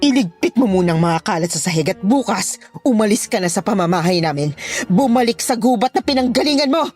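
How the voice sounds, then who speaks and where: A woman's voice: mid-range voice, lively intonation, a little fast, darkish tone, soft background music, close to an everyday voice. A woman playing an unhinged, menacing daughter in a horror audio drama, theatrically swinging between eerie sweetness and rage. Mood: furious. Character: unhinged, menacing adult daughter taunting her father.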